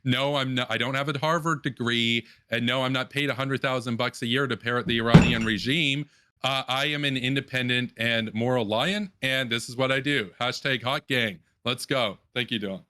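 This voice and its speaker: A man's voice: mid-range voice, fairly even intonation, normal speed, sharp tone. A man giving an emphatic closing statement in an online political debate, repeating phrases to press his point.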